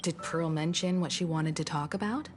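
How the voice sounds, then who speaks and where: An actress playing a composed, polite grown woman who leads gently in an anime game. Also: sits low, moderate pace, natural voice. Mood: thoughtful. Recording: faint music behind.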